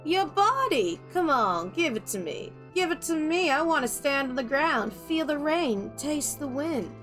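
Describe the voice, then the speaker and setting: A woman's voice: high voice, big pitch swings, measured pace, fairly bright tone, soft background music, voice pushed up, full character voice. A woman voicing a fierce, vulgar demon in a storybook reading, with a Southern US accent and a taunting, madly gleeful manner. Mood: coaxing, hungry. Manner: taunting, coaxing, madly joyful.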